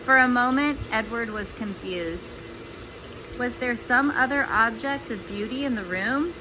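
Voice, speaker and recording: Woman reading a kids book out loud, kinda high voice, chatty, noise behind her. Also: unhurried. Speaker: storybook reader. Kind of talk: children's read-aloud with chatty asides.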